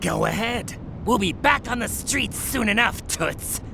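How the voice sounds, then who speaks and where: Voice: medium pitch, animated, a little slow, vocal fry, background noise. An actor playing a brash, dim lizard-man goon with slangy tough-guy talk in a noir audio drama. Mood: defiant.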